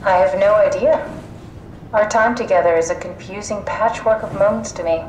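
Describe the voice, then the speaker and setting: An actress playing a brisk, matter-of-fact scientist companion in a sci-fi game, with a businesslike way of talking. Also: female voice, mid-range voice, darkish tone, lightly acted. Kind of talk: video game voice acting. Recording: echoey, noisy machinery ambience.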